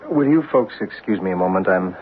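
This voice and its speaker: A man's voice: low-pitched, lively intonation, a little fast. An actor playing an easygoing male photographer on a ghost hunt in a 1970s radio drama, speaking in a reassuring way. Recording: thin phone-like old radio broadcast with faint hiss.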